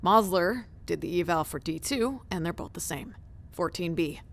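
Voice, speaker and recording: An actress playing a tired, blunt scientist in an audio drama, talking in a terse, deadpan, conversational way. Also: female voice, on the low side, normal speed. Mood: matter-of-fact. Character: tired, blunt female scientist doctor, dryly uneasy.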